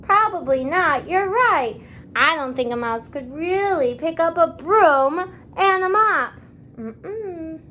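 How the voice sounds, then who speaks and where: High-voiced woman teacher reading to young children. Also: slight background noise. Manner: expressive, asking questions and pausing as if children answer; encouraging, simple child-directed phrasing. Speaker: kindergarten teacher. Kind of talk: interactive children's read-aloud lesson.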